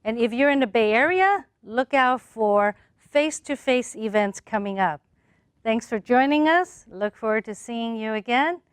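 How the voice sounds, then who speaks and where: Woman host, fairly high voice, dry close sound, affirming and inviting. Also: lively intonation, moderate pace, smooth, no echo. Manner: warm affirming listener, many soft mm-hmms. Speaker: talk show host. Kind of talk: hosting a panel discussion.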